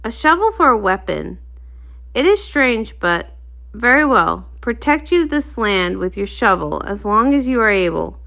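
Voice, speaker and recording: A woman's voice: fairly high pitch, big pitch swings, measured pace, vocal fry, bright, forceful tone, heavily acted. A woman voicing a stern female pony guard captain, speaking in a formal, ceremonious way for a storybook reading.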